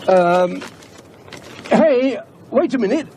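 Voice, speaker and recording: An actor playing an anxious, haunted English professor who speaks hesitantly in a British radio horror drama. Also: male voice, high-pitched, lively intonation, noisy background. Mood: uneasy, puzzled. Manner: hesitant, troubled, halting. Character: anxious, haunted male professor, fearing for his sanity.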